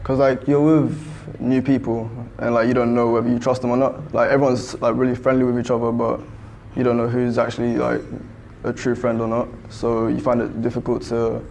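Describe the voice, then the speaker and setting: Laid-back young man, gravelly. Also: medium pitch, completely flat delivery, a little fast, darkish tone, a little hiss or hum. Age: young adult. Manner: laid-back, many fillers. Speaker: student interviewee. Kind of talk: casual interview answers.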